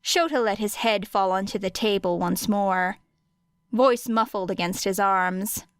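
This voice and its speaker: A woman narrates an audiobook story at an unhurried pace. Her pitch is medium and the recording is very dry, with no room sound. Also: clear, resonant tone, no echo. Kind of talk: scripted fiction narration.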